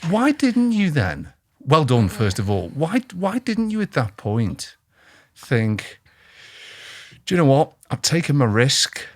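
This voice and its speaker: A man hosts a podcast interview on a close, dry mic, his pitch swinging widely as he talks. He sounds eager and encouraging, and his questions keep the chat going. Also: wildly swooping pitch, slow pace, no room sound. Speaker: podcast host, CEO.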